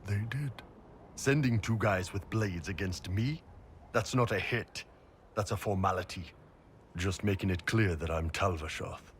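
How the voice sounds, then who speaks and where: An actor playing a big, gruff horned mercenary warrior, blunt and wry, in a video game cutscene. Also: male voice, deep voice, unhurried, slight background noise. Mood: bitter. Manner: blunt, wry, swearing soldier.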